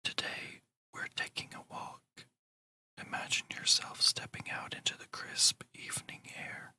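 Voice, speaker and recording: Casting: ASMR meditation guide who whispers throughout, crisp and breathy, with slow, deliberate, soothing delivery. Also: sharp tone. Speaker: ASMR channel host and meditation narrator.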